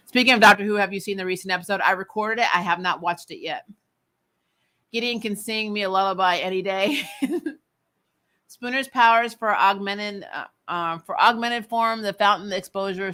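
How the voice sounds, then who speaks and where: A host chatting casually and reacting to viewer comments in an animated, giggly way. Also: medium pitch. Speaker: show host. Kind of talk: casual chat reading and answering live comments.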